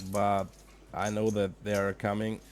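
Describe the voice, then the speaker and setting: A man's voice: lowish voice, completely flat delivery, measured pace, a little hiss or hum. A young man from Austria, a non-native English speaker with an Austrian German accent, commentating a poker livestream and chatting with viewers, sometimes searching for words. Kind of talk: live poker commentary with chat.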